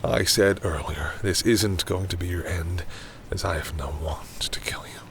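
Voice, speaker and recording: Deep-voiced smug vampire predator played by a man. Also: measured pace, slight background noise. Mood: commanding, menacing. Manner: condescending, commanding, breathy asides to himself. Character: confident male vampire predator, smug and coolly menacing. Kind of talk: intimate audio roleplay, acted monologue to the listener.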